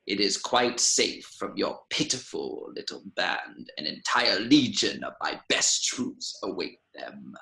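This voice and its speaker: A man's voice: low voice, big pitch swings, a little slow, vocal fry, hardly any pauses, pushed and bright, roomy sound, exaggerated voice, rough recording. A man acting a gloating, menacing old tyrant emperor in a theatrical film script read. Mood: gloating menace.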